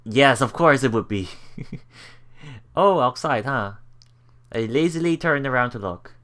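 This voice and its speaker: Female let's player, very deep voice, unhurried read-aloud with giggly asides, music underneath. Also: expressive, fairly bright tone. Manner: giggly, frequent laughing asides while reading aloud. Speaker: let's play streamer narrating a visual novel. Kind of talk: live reading and reacting to a game, casual. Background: game music.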